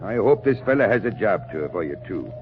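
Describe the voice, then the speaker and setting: A man's voice: fairly low pitch, very animated, a little fast, slight background noise. An actor playing a hearty, talkative Irish policeman in a folksy, blustering manner in a 1940s radio drama. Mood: kindly. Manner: folksy, roundabout, blustering but good-natured. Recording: muffled old radio broadcast with music.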